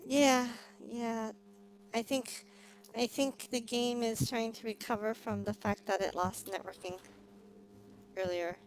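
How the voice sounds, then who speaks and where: A woman's voice: on the high side, fairly even intonation, unhurried. A woman livestreaming a game, chatting casually and a little hesitantly to her viewers. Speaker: livestreamer, vtuber. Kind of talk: casual livestream chat while gaming. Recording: clearly noisy, humming background.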